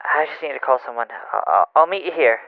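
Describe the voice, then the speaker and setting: A man's voice: fairly high pitch, expressive, quick pace, dry recording. A man playing an anxious, rattled coworker on the phone in an audio drama, stammering nervously through a phone filter. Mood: uneasy. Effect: phone or radio filter. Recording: muffled, band-limited phone call effect.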